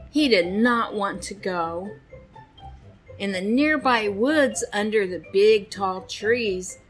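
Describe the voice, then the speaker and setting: A woman narrating a children's storybook with expressive, deliberate phrasing. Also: mid-range voice, rough recording.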